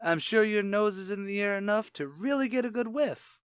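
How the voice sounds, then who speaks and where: A brash tavern manager acted by a man cracks wise in 1940s radio comedy style. His pitch swoops freely as he mixes streetwise slang with puffed-up, would-be elegant words. The sound is dry and close. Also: animated, moderate pace, clean tone, no echo. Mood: mock-genteel. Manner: streetwise slang mixed with pompous affected words. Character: brash wisecracking tavern manager man, mock-genteel malapropist.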